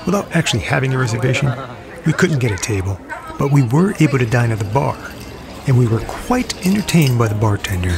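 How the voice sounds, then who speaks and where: A middle-aged man giving an upbeat, relaxed voice-over narration for his travel vlog. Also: mid-range voice, brisk, gravelly.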